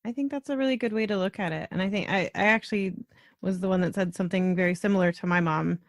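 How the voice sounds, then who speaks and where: A woman hosts a conversational podcast in a reflective, easygoing way. Her mid-pitched voice sounds close and dry, with no room echo around it. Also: quick pace. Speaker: podcast host.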